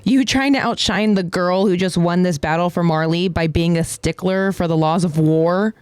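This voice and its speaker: A woman's voice: lowish voice, normal speed, darkish tone, clean tone, no echo, close to an everyday voice. A woman voicing a cocky, mocking young soldier girl in an anime story, recorded dry and close.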